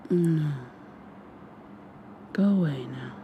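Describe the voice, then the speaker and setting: A woman playing a sarcastic, weary human captive in an ASMR roleplay, with a sardonic, deadpan delivery. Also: low-pitched, extremely slow, phone-quality sound, a little hiss or hum. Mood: weary, bitter.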